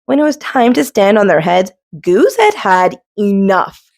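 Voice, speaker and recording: A woman's voice: medium pitch, animated, unhurried, vocal fry, darkish tone. A woman narrating a children's story expressively as a storybook reader.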